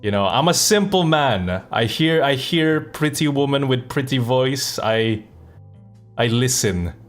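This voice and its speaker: A man's voice: medium pitch, animated, a little slow, faint music behind. A man streaming a game and chatting casually, thinking aloud in a rambling way. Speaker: live streamer. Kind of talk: casual chat while playing a game.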